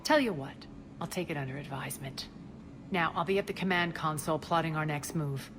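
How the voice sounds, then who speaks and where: A woman's voice: low-pitched, a little fast, noisy background, natural voice. An actress playing a ruthless, proud female crime boss in a video game, commanding, cold and imperious. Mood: cold, scheming.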